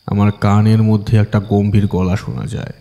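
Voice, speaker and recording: Male audiobook narrator for horror: lowish, dark mellow tone, dramatic storytelling, long reverb. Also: little pitch movement, normal speed.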